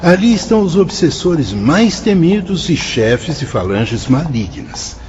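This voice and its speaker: A man's voice: fairly high pitch, very animated, background noise. A solemn fatherly spirit guide, sweeping intonation. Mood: grave, warning. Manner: declamatory, measured, fatherly. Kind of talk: radio drama acting. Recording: dull radio drama, music underneath.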